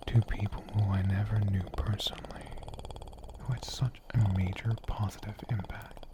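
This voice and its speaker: A man speaking in a gentle, intimate, soft-spoken ASMR style as a creator addressing an audience. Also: on the low side, level tone, normal speed.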